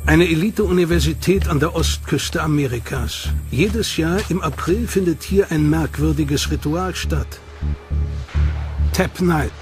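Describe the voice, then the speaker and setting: Guy narrating a doc, measured and dramatic, kinda high voice, loud music under it. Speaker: documentary narrator. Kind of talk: scripted documentary narration.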